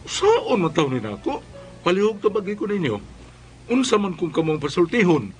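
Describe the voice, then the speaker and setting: An actor playing an anxious, troubled husband in a Philippine radio drama. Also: male voice, high-pitched, very animated, moderate pace, a little hiss or hum. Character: anxious husband troubled by his hot-tempered wife.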